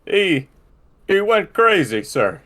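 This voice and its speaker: Man reading a blunt, gruff prison tough. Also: on the low side, lively intonation, normal speed. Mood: alarmed. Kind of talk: comic script read-through.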